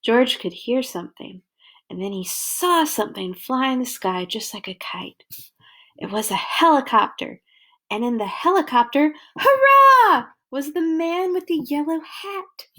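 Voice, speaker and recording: A woman reads a story aloud for children. Her voice is fairly high and her pace very slow. She narrates expressively, placing deliberate, dramatic emphasis on phrases. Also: very animated, sharp tone.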